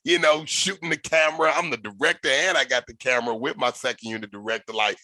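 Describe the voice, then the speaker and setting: A man hosting a podcast, telling stories in a loose, animated way, with slang and swearing. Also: medium pitch, quick pace, dry recording. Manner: animated, profane storytelling with slang. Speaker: podcast host. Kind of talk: casual podcast conversation.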